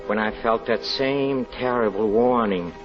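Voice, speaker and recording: An actor playing a haunted, grieving European husband confessing a tragedy, halting, stammering and pleading in theatrical 1940s radio drama style. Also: male voice, medium pitch, faint music behind, close to an everyday voice. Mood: haunted, remembering. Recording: muffled, noisy old radio recording.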